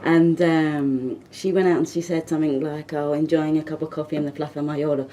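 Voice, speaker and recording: Low-voiced woman radio host. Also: level tone, normal speed, a little hiss or hum. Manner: warm, affirming, frequent murmurs of agreement. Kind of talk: casual radio interview. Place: radio studio.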